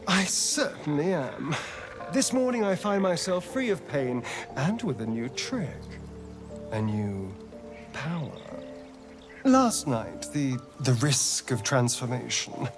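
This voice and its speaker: An arch, haunted escaped slave for a video game. His delivery is theatrical and sardonic, unhurried and very animated in pitch. Music plays clearly behind him. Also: full character voice. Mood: arch, smug. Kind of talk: dramatic video game character acting.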